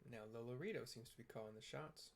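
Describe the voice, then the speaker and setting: A man's voice: medium pitch, fast talker, vocal fry, a little hiss or hum. A man narrating game cutscenes in a casual, plain-spoken way. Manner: flat, plain-spoken describing.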